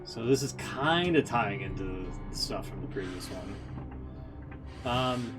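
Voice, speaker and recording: A man's voice: medium pitch, animated, a little slow, music in the background. A man streaming casual, off-the-cuff reactions to game stories in a sardonic, mock-exasperated manner.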